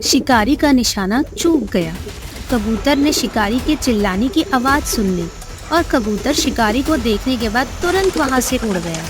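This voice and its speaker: A woman's voice: fairly high pitch, lively intonation, moderate pace, noisy background. A woman narrating a scripted moral story for young children.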